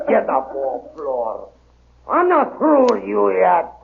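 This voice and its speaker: Big drunk bully, foreign accent, slow gravelly threats, old radio play, phone-like sound. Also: male voice, sits high, huge pitch swings, slight background noise, heavily acted. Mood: menacing, violent. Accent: foreign-accented broken English. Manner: domineering, threatening, broken grammar. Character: big brutish drunken male bully, menacing and boastful. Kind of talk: 1930s radio drama acting. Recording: tinny old radio recording.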